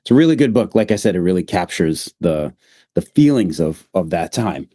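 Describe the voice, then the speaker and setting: A man with a Boston accent hosting a podcast interview, encouraging and quick with short replies. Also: deep voice, sing-song. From United States. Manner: warm, encouraging, short back-channel replies. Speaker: podcast host.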